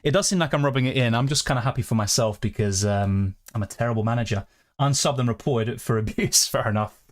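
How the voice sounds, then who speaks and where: A young man chats loosely on a live stream, rambling between thoughts and reading messages aloud. His voice sits mid-pitch, casual and unscripted, on a streaming desk mic. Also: quick pace. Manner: chatty, rambling, reads chat aloud. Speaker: fantasy football streamer.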